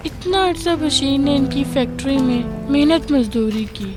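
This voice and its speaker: Poor widow for radio drama, played by a woman: slow, earnest, plaintive, music underneath. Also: high voice. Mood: hurt, dignified. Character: poor, weary widowed mother, pleading yet dignified. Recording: music behind the voice.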